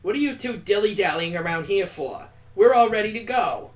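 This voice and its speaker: Man voicing an impatient, high-pitched bossy girl. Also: animated, normal speed. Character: impatient, bossy girl companion in a visual novel.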